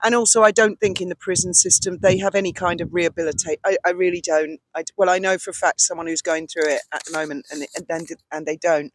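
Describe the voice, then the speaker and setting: A woman's voice: lowish voice, steady tone, fast talker, hardly any pauses, rough recording. Outspoken British woman with a carrying voice. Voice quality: loud, carrying voice. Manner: blunt, outspoken. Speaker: vlog co-host. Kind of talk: casual, opinionated chat. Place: outdoors on a boat deck at a marina, breezy evening. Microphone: cheap handheld microphone passed between speakers.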